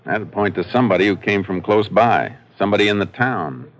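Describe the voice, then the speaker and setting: An actor playing a calm, methodical Texas lawman in a 1950s radio crime drama, speaking in terse, deliberate, folksy phrases. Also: fairly low pitch, soft background music, close to an everyday voice. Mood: businesslike, deliberate. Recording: dull, noisy old radio recording.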